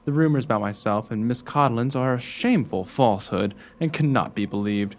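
A self-assured gallant hero played by a man declaims formally in a radio play. His pitch is medium, and there is faint noise behind him. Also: fairly quick, lightly acted. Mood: ardent. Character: gallant, self-assured rescuer and earnest suitor.

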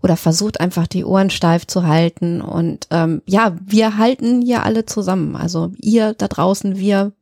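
A woman's voice: medium pitch, moderate pace, few pauses, dark tone, no echo. Woman co-hosting, dry close sound. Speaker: podcast co-host. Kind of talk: casual explanatory chat.

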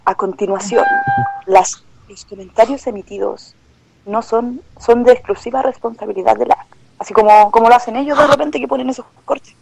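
A woman, chatting in an animated way as a guest on a casual podcast. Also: fairly high pitch, normal speed, creaky, a little hiss or hum, low-quality recording. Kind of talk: casual group podcast chat.

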